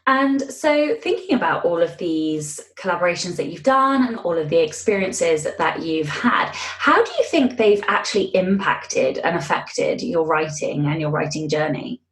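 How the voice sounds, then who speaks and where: Woman, very low voice, podcast interviewer, conversational questions, roomy echo. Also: lively intonation, normal speed, hardly any pauses, a bit bright. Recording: roomy, echoey sound.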